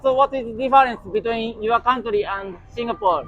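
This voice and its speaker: A man's voice: very high voice, a little fast, highs cut off. A Japanese man with a Japanese accent, interviewing people on the street with short polite questions and many thank-yous. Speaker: street interviewer and channel host.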